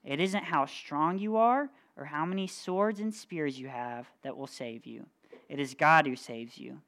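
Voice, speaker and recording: A woman's voice: sits low, measured pace, a bit bright. A woman voicing a small, brave shepherd boy who speaks earnestly and steadily in a storybook reading.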